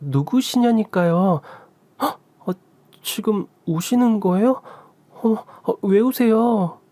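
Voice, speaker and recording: A woman's voice: mid-range voice, slow, dark tone, no room sound, voice pushed up. A man voicing a polite, earnest daughter-in-law of forty who gets easily flustered, in a radio story. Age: 40s.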